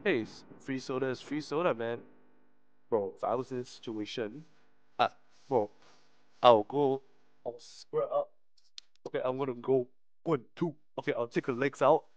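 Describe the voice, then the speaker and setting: A man's voice: medium pitch, normal speed, long pauses, dry recording. Man streaming a game, slangy delivery. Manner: slangy, often stumbles while reading. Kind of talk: live let's-play, reading visual novel dialogue aloud with slangy commentary.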